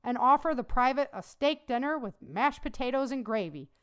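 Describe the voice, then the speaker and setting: A woman's voice: high-pitched, animated, moderate pace, clear, resonant tone. Dexter, an earnest young soldier, read by a woman. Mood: respectful. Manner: straightforward, respectful. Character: earnest young male army private, a sharpshooter.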